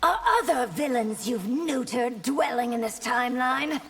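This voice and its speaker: An actress playing a stern, commanding young warrior empress in a video game, imperious and curt. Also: female voice, on the high side, unhurried, vocal fry, slight background noise.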